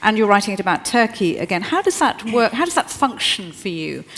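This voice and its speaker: A woman's voice: medium pitch, sing-song, brisk, a bit bright. Woman hosting a live onstage interview, hesitant prompts; voice carries long hall reverb. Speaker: event moderator. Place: event hall.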